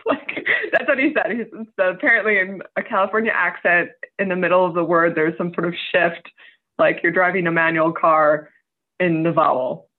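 A woman's voice: lowish voice, steady tone, normal speed. A woman in her twenties chatting casually and telling an amused story. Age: 20s. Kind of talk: casual chat. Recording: tinny phone-like line.

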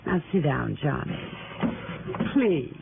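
A woman's voice: low voice, sing-song, slow pace, full character voice. Anxious woman on muffled old radio. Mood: uneasy, coaxing. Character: anxious, evasive woman, politely insistent hostess. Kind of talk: 1950s-60s radio drama acting. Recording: muffled old radio broadcast, clear hiss.

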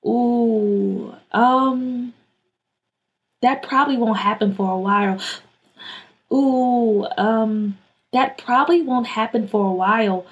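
A woman's voice: fairly high pitch, takes it slowly, lo-fi sound. A woman voicing a bossy, alarmed older sister who scolds and takes charge in a children's story. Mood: explaining, firm. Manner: scolding, urgent, exclaiming.

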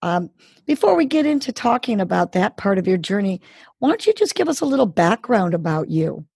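A woman's voice: mid-range voice, sing-song, brisk, gravelly, darkish tone, husky, dry recording, clean studio sound. A woman hosting an interview show, asking questions in an encouraging, effusive way. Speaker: host.

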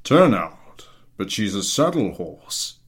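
Man doing a low, gruff old-school general. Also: sing-song, full character voice. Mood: grumbling, blunt. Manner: blunt, measured, reserved. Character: gruff, unhurried senior military general.

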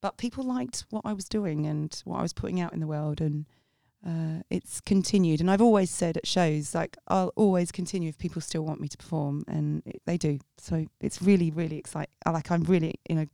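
A woman's voice: low-pitched, a little fast, gravelly, few pauses, dark tone, no room sound, clean studio sound. A woman from England with a mixed Sussex and Essex English accent, chatting freely as an interview guest, rambling with restarts. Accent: English, mixed Sussex and Essex with a touch of Australian. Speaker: guest, jazz singer. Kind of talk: casual interview chat.

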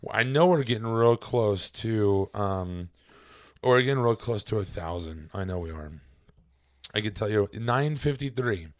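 Hoarse, raspy man, low voice. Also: expressive, dry recording, studio quality. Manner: halting, with many ums and trailing off quietly. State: hoarse from laryngitis. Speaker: podcast co-host. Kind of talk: casual chat. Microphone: podcast microphone.

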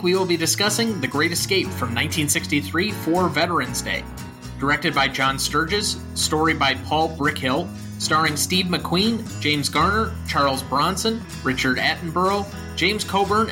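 A man's voice: on the high side, moderate pace, over music. A young American man talking about films as a podcast host. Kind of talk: film podcast discussion and sign-off.

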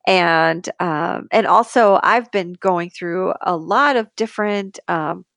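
A woman's voice: fairly low pitch, slow, gravelly, fairly bright tone, no room sound, clean studio sound. A woman co-hosting a casual podcast, talking in a hesitant, searching way. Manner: hesitant, full of ums and restarts. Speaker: podcast co-host.

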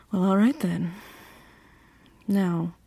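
Woman acting a creaky-voiced, bossy flirty female CEO. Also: mid-range voice, warm tone, no echo, full character voice. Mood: commanding, teasing.

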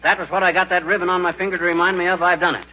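An American actor playing a bumbling, blustering, folksy middle-aged husband in a 1940s radio comedy. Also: male voice, high voice, level tone, very fast, bright, forceful tone, breathy edge, full character voice. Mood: eager. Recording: dull, noisy 1940s radio recording.

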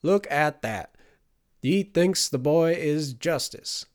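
A man's voice: on the high side, expressive, slow pace, pitched above the performer's own voice. A man voicing a gleeful, leering, toothless old prisoner with a mocking manner, in an audiobook. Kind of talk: audiobook character acting.

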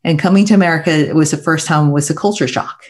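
Reflective Taiwan-born woman telling her story. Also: low voice. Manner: reflective, halting, with small restarts. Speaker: guest. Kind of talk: conversational interview storytelling.

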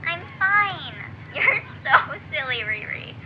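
Little girl, playful, super high and slow, swoopy, noisy old home video sound, audio drama. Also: very animated, lo-fi sound, heavily acted. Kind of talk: audio drama flashback dialogue.

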